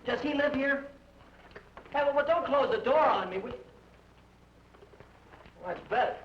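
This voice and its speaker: Cocky high-voiced lead, pushy, muffled vintage broadcast. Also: male voice, normal speed, recorded from a distance. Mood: brash. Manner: brash, wisecracking. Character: brash, cocky American man hunting for his brother. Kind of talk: 1940s radio acting. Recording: dull lo-fi old radio recording with faint hiss.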